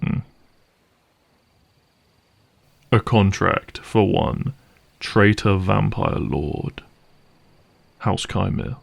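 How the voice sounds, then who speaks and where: A man playing a gruff, cynical vampire hunter for hire in a dramatic audio roleplay, speaking in a wry, sardonic and menacing way. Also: lowish voice, full character voice. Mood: cold, menacing. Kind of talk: dramatic audio roleplay monologue addressed to listener.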